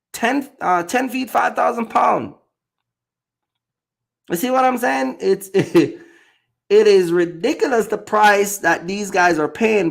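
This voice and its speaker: A man's voice: high voice, creaky. A man from Jamaica, a box truck driver chatting loosely on a livestream with many fillers and repeated words. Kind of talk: casual livestream chat.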